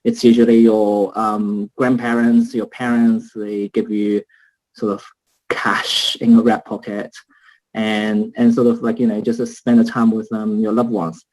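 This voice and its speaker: A man's voice: fairly low pitch, flat delivery, measured pace, a little mellow, low-quality recording. A man from China speaking casually as a panelist on a video call, with many fillers. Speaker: panelist, trader.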